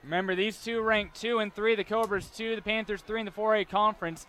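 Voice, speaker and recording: A man's voice: high voice, fairly even intonation, a little fast, sharp tone. A young man giving live play-by-play commentary as a sports announcer at a game.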